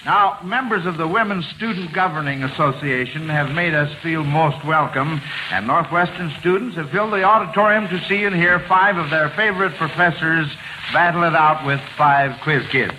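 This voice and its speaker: A man's voice: fairly high pitch, moderate pace. An American man hosting an old-time radio quiz show in a genial showman's manner. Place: university auditorium. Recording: hissy, noisy vintage radio broadcast.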